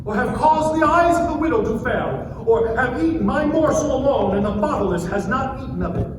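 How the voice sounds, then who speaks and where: A man's voice: extremely high pitch, animated, a little fast. A man in a dramatic scripture reading, playing a wronged sufferer who pleads and protests in an impassioned, declamatory way. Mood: defiant, earnest. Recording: very echoey, far-off mic, noisy live room.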